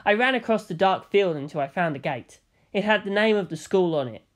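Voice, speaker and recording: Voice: mid-range voice, expressive, a little fast. A narrator reading a first-person story expressively, in the style of an amateur audiobook. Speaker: audiobook reader.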